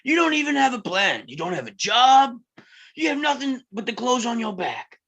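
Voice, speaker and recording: A man's voice: very animated, creaky, a bit bright, heavily acted. A man reading the part of a brash, wisecracking middle-aged circus owner with a blunt, joking manner. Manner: joking, blunt, fast-shifting pitch. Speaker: table read actor. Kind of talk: live table read acting.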